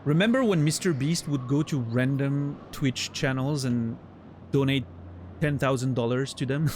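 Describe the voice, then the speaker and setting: A man's voice: mid-range voice, measured pace, darkish tone, slight background noise. A man from Switzerland with a Swiss French accent, a native French speaker chatting casually with viewers while streaming a game, often restarting his sentences. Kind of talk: casual chat while gaming.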